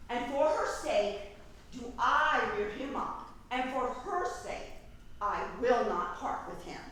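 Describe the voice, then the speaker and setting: A proud, defiant fairy queen, acted by a woman, declaims verse slowly and high. The voice sits far from the microphone in a very echoey room. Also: animated, a bit bright, a little hiss or hum. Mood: firm, defiant. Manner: declamatory, measured. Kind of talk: staged reading of Shakespearean verse.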